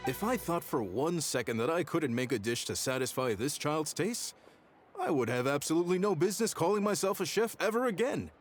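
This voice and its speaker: A man's voice: a little high, lively intonation, a little fast, natural voice. An actor playing a proud, hearty restaurant chef in a video game, hospitable and theatrical like a salesman. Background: game music.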